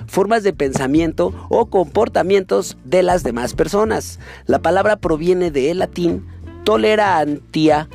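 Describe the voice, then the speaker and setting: A man's voice: fairly high pitch, creaky, a little mellow. A man with a Mexican accent hosting a podcast, talking in a laid-back, slangy way. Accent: Mexican Spanish. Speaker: podcast host. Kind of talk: casual podcast chat and solo commentary.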